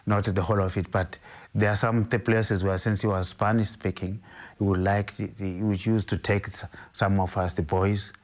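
An older Zimbabwean man with a very low, deep voice. He speaks slowly and carefully in an interview, often pausing and restarting his sentences as he recalls his past. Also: level tone. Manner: measured, hesitant, with frequent restarts. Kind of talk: interview, recounting his life.

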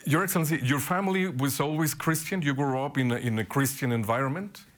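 A man's voice: medium pitch, fast. A man interviewing a bishop, asking polite questions with hesitant repeated words.

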